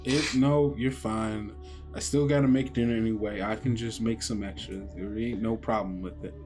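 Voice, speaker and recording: A man's voice: on the low side, steady tone, moderate pace, a little mellow, faint music behind, close to an everyday voice. A man playing a weary, guarded, folksy small-town sheriff in a tabletop roleplay game. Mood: folksy, reassuring. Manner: folksy, colloquial.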